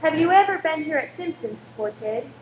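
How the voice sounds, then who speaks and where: A woman playing a polite, protective wife in a radio play, modest, guarded and gently wry. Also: high-pitched, expressive, a little fast. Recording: echoey, faintly noisy room.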